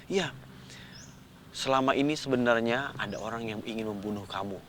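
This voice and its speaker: A man's voice: medium pitch, moderate pace, a bit bright, natural voice. A man telling a children's story voices a ragged, scheming stranger who speaks in an insistent, confiding way. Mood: ominous confiding. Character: ragged, scheming male stranger who slanders his sister.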